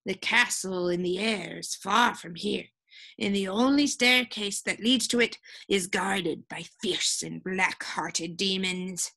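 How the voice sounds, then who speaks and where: A woman voicing a kindly, resigned old witch from a storybook, speaking in a halting, motherly, reassuring way. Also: medium pitch, gravelly, bright, forceful tone, full character voice. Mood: gently resigned.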